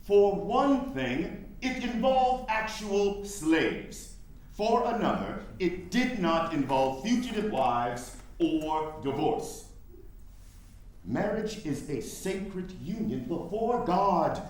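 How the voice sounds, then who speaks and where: Guy acting a wary 1800s abolitionist man in a play, slow and emphatic, pretty high voice, formal wording. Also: big pitch swings, a little mellow, exaggerated voice. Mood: firm, indignant. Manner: emphatic, deliberate, formal diction with dramatic pauses. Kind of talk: staged play reading, theatrical dialogue. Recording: very echoey room.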